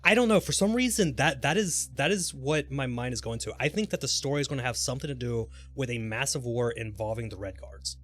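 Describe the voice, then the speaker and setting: A man's voice: medium pitch, lively intonation, a little fast, few pauses, a bit bright. A man chatting casually and informally on a live gaming stream, speculating in a rambling way. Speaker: game livestreamer. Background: background music.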